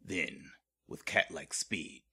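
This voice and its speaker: Deep, husky horror narrator played by a man. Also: slow, bright, forceful tone, heavily acted. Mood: ominous. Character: grave male narrator of a horror tale. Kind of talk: dramatic scripted narration.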